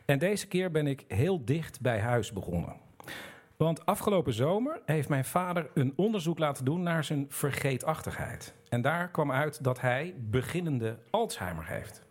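A man's voice: on the high side, big pitch swings, normal speed. A man hosting a storytelling show, narrating in a personal way.

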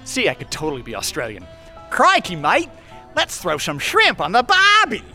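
Radio play: a man doing an uptight, eager guy, very animated delivery. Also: moderate pace, faint music behind. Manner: awkward, eager, swoops in pitch. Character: uptight, nerdy imaginary boyfriend man. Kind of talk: audio drama acting.

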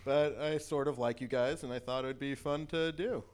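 A man's voice: medium pitch, fairly even intonation, normal speed, a little mellow. A man co-hosting a podcast in casual banter. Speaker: podcast co-host.

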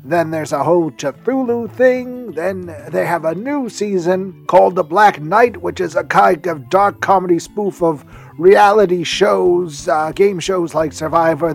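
Man, fairly high voice, chatty podcast host, rambling, self-deprecating, music behind. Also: creaky. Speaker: podcast host giving a recommendation. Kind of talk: chatty scripted-feeling promo talk.

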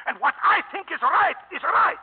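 Stubborn old italian dad, high voice, thick broken english, defiant, old tinny radio play. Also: male voice, expressive, normal speed. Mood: defiant, proud. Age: older. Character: stubborn proud old Italian immigrant father. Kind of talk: 1940s-50s radio drama acting.

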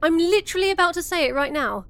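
A woman's voice: very high-pitched, animated, fast, gravelly, a bit bright, no room sound, full character voice. A woman playing a bitter, sardonic podcast host in an absurd comedy audio drama, jaded and mock-solemn. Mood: exasperated.

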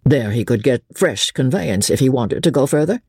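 A man plays a suave, menacing official in an audiobook. His voice is very husky, with a lively swing in pitch and a dry, close sound. He commands quietly and impatiently, always calculating. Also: moderate pace, dry recording. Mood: curt. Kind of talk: audiobook character voice.

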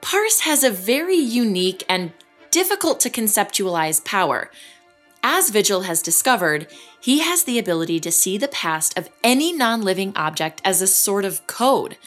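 A woman hosts and narrates an audio drama in a measured storytelling way. Her pitch moves a lot, rising and falling through each sentence. Faint music plays behind her. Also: very animated, fairly bright tone. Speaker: narrator and host. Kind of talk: scripted narration.

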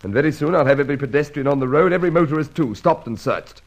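A man's voice: on the high side, animated, very fast, gravelly. A man playing a dogged, blustering police inspector with an exasperated official manner in a radio crime serial. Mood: exasperated, determined.

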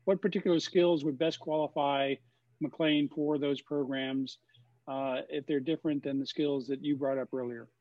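A man hosting a question-and-answer session, speaking haltingly with many fillers, as if over a video call. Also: a little high, fairly even intonation, no echo. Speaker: host of a webinar Q&A. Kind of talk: casual moderated Q&A. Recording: dry, dull lo-fi sound.

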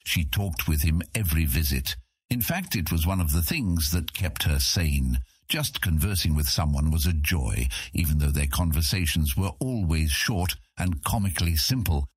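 A man's voice: very deep voice, animated, normal speed, husky, dry recording, studio quality. A man narrating a scripted fantasy audiobook as its storyteller.

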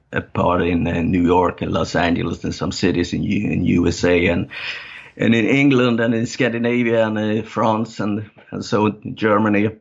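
Halting gravelly Danish man, low voice. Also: lo-fi sound. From Denmark. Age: middle-aged. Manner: halting, many fillers and restarts. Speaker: guest. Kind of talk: casual interview talk.

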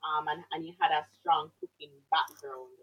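A woman's voice: low-pitched, steady tone, normal speed, husky, highs cut off. A woman from Jamaica hosting an interview, chatty and enthusiastic in her questions. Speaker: interview host. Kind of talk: casual interview.

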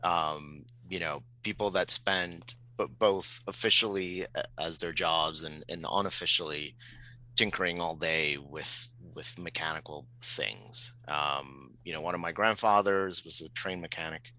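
A middle-aged man from Puerto Rico talking casually as an interview guest, reflecting in halting, hesitant phrases. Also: deep voice, bright, forceful tone, rough recording.

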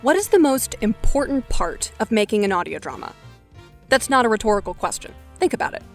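A woman hosts a podcast and walks through a scripted explainer, direct and brisk. Her voice sits fairly high, and faint music plays under her. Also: very animated. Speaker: podcast host.

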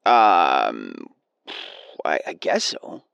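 An actor playing an earnest, excitable man phoning a radio show, hesitant and stumbling over his words. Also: a bass voice, very slow, vocal fry, lo-fi sound, very noisy, full character voice. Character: earnest, excitable male radio caller, awed believer. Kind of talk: naturalistic audio drama acting.

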